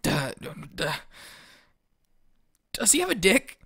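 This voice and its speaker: A man's voice: sits high, huge pitch swings, takes it slowly, creaky, a bit bright, slightly rough, no room sound, studio quality, exaggerated voice. A man playing an awkward, crude teenage boy in an audio drama, rambling and hesitant. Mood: awkward, curious. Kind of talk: podcast audio drama acting.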